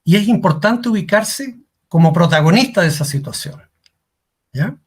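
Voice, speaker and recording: A man's voice: high-pitched, animated, moderate pace, slightly rough. A middle-aged man giving a career workshop talk as a coach, asking rhetorical questions and repeating key lines for emphasis. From Chile.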